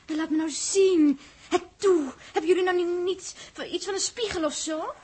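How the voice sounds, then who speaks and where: An actress playing an expressive, girlish woman aboard a spacecraft in a 1960s radio drama, with a Netherlands Dutch accent. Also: very high voice, moderate pace. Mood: peevish, pleading. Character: Els, playful spacecraft crew woman turning frightened. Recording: noisy old radio drama recording.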